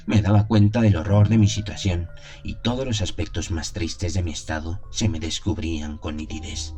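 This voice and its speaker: A very deep, mellow voice over music, a man acting as a lovesick young seminarian in a gothic radio play. He recounts his anguish in a solemn, brooding way, with theatrical literary phrasing. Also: fairly even intonation, moderate pace, lightly acted.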